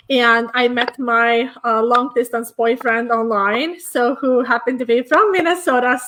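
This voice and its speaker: A woman's voice: on the high side, bright, forceful tone, slight background noise, low-quality recording. A woman from Estonia, a business coach guest, talking casually with many fillers in a hotel room. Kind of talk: casual conversation.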